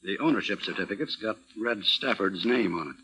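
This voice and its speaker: An actor playing a businesslike police officer reporting to his chief in an old radio crime drama, with a husky voice. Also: fairly low pitch, expressive, brisk, bright, forceful tone, heavily acted, rough recording. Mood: matter-of-fact.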